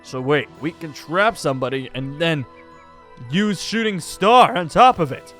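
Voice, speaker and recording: A man's voice: fairly high pitch, expressive, unhurried, fairly bright tone. A man playing a curious, easily impressed young gunslinger in an improvised Minecraft roleplay, talking casually and full of questions. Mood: impressed, incredulous. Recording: background music under the voice.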